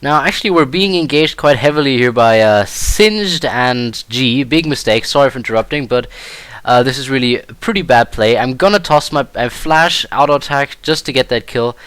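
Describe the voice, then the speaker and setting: A young man whose first language is German talks through his gameplay in a loose, halting way, often stopping to restart a thought. His voice sits at a middle pitch. He is recorded dry and close at a desk mic. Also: fairly bright tone, smooth, dry recording. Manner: hesitant, many fillers and restarts. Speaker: gameplay commentator. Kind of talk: casual game tutorial commentary.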